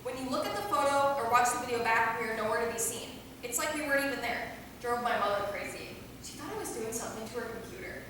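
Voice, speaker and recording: A woman playing a cocky, mischievous, excitable young female vampire in a staged play reading, with a sassy, boastful delivery. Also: a little high, fast talker, close to an everyday voice. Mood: smug, animated. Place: theater hall, recorded from a distance. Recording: very echoey hall, far mic, noisy.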